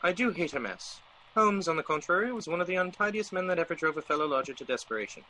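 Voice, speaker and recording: Man acting fussy exasperated gentleman, radio drama, bright forceful voice, highish pitch, declamatory. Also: expressive, fast talker, slight background noise, rough recording. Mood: fussy, reminiscing.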